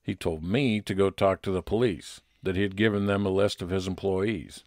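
A private investigator played by a man in an old-time radio detective story. His voice is low and measured, with a wry, matter-of-fact delivery and no room sound. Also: fairly even intonation, a little mellow, no echo, close to an everyday voice. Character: Billy, male private investigator, observant and wry.

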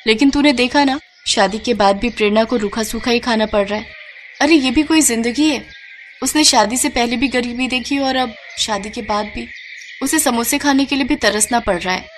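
A woman's voice: on the high side, moderate pace, a little hiss or hum, natural voice. A woman voicing a wealthy, caring young friend, chatty and emphatic, in a cartoon moral story. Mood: concerned.

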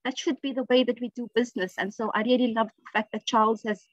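A woman speaking as a panelist in an online discussion, measured and advocating. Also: a little high, fairly even intonation, no room sound, muffled recording.

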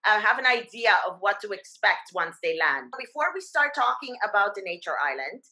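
A woman hosting an interview over a headset on a video call, chatty and excitable, using fillers. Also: medium pitch, brisk, low-quality recording. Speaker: host. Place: home room, indoors.

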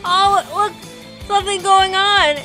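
A woman doing a very high, squeaky cartoon sister. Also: level tone, measured pace, sharp tone, faint music behind, exaggerated voice. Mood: worried. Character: earnest, worried young sister rushing to help. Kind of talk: amateur comic dub.

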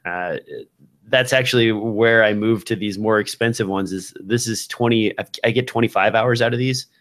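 A man in his thirties with a fairly low voice hosts a podcast. He talks casually about tech gear, speaking closely into a studio microphone. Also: steady tone, moderate pace, a bit bright. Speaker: podcast host. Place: small indoor room with shelves of gear. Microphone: broadcast microphone on a boom, close up, with headphones.

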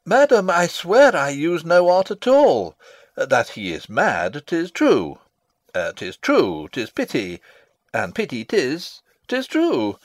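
Pompous old royal advisor, shakespeare style, slow n rambling, super swoopy pitch. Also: male voice, huge pitch swings, no echo, clean studio sound, heavily acted. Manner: rambling, self-satisfied, theatrical. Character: pompous, long-winded old male royal counsellor and father. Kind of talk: classical Shakespearean stage acting, dramatic reading.

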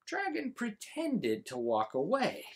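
A man in his forties reading a children's storybook aloud, with dramatic, exaggerated emphasis and pauses. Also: sits high, wildly swooping pitch, husky. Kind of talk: animated storybook read-aloud.

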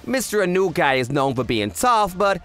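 A man's voice: sits high, sing-song, normal speed, a bit bright, soft background music. An actor playing a cocky, chatty young male yakuza who narrates in a scripted anime dub. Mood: serious.

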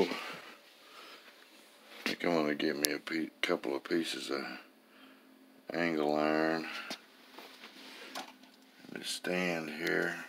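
A man with an extremely low voice that has a gravelly fry to it. He talks slowly and casually, like a hobby mechanic walking through his work in the garage. His way of speaking is folksy and plain, peppered with swear words. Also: a little hiss or hum. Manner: profane, folksy, plain-spoken. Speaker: amateur engine builder and vlogger. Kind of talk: casual hands-on garage walkthrough. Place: indoor garage or workshop with concrete floor.

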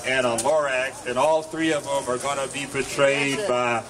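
A middle-aged man reading a children's story in rhyme aloud at an outdoor gathering, with deliberate, measured delivery. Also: medium pitch, little pitch movement, a crowd in the background. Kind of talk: live public storytime reading, rhyming verse. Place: outdoor public gathering in a park. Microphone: microphone on a stand, recorded by nearby camera. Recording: noisy outdoor recording from a distance, wind and traffic.

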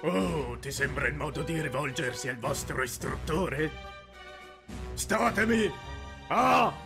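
A menacing anime military instructor , acted by a man. He speaks slowly and imperiously in a gravelly, raised voice over music. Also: on the high side, animated, exaggerated voice. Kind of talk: anime fan dub acting.

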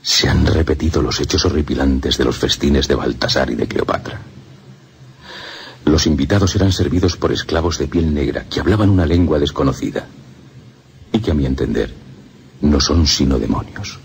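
An actor playing a grave, severe abbot in a radio play, with a solemn, portentous delivery. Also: male voice, a bass voice, vocal fry, exaggerated voice. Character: grave, severe abbot warning of demons, ominous.

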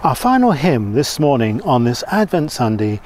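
A man's voice: medium pitch, very animated, moderate pace, a little mellow. A middle-aged man, a clergyman, announcing a hymn in a church service, recorded outdoors. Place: outdoors on a grassy lawn by trees. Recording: faint outdoor background noise.

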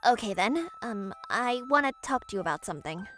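A woman voicing a bashful but feisty, secretly sweet teenage schoolgirl maid in a manga romcom dub, shy and halting. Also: fairly high pitch, lively intonation, sharp tone. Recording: music behind the voice.

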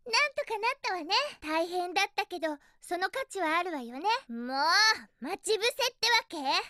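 A young cartoon hedgehog heroine for a video game, cheerful and feisty. The voice sits extremely high with a bright, forceful edge. The delivery is girlish and expressive, captured dry and close. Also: brisk, no echo, full character voice. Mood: perky, determined. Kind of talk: Japanese video game voice acting.

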